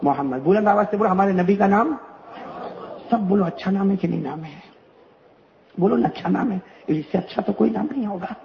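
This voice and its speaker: A man's voice: sits high, fairly quick, vocal fry, long pauses. A man preaching to a gathering, repeating his phrases and calling out questions for the crowd to answer. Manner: repetitive, rhetorical, calls out questions to the crowd. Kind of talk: religious speech to a gathering. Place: religious gathering. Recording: dull lo-fi recording.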